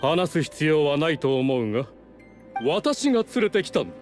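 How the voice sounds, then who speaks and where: A haughty nobleman of a fallen clan, pitched a little high for a man. He speaks with pompous, formal condescension, like a schemer in a Japanese game. Music plays clearly behind him. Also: sing-song, normal speed. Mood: indignant, commanding.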